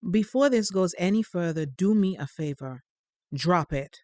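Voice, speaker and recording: A woman's voice: fairly low pitch, animated, moderate pace, dark tone, no room sound, clean studio sound, close to an everyday voice. A woman playing a firm, protective mother of a teenage boy, pointed and motherly, in a naturalistic audio drama. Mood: stern, worried.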